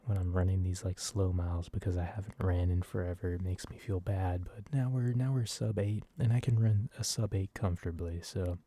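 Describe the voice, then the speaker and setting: A man softly rambling in a casual ASMR style, hesitant and informal, with a breathy voice. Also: sits low, fairly even intonation, fairly quick, hardly any pauses. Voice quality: soft, breathy. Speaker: video creator. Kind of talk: soft-spoken ASMR ramble.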